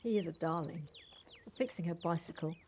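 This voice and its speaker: An actress playing a gentle, sympathetic visitor who listens and asks tentative questions in an audio play. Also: female voice, on the low side, moderate pace, close to an everyday voice. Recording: noisy home recording on personal device.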